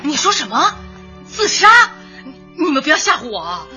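An actress playing a stubborn but soft-hearted widowed mother in a Chinese radio drama, with a husky, emotional voice. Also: sits high, very animated, moderate pace, lo-fi sound, soft background music, exaggerated voice. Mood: shocked, tearful. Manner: emotional, sighing.

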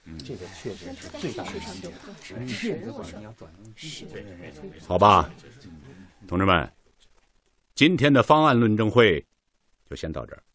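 An actor playing a measured, authoritative official in a radio drama, chairing a technical meeting with unhurried, probing questions. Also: male voice, on the low side, very animated, fairly bright tone, husky, heavily acted.

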